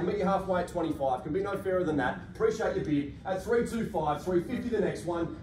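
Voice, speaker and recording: An Australian man working as an auctioneer, calling a live property auction in polished, projected sales patter, recorded across a large function room. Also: high voice. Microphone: far camera across the room. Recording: echoey room, distant mic, faint noise.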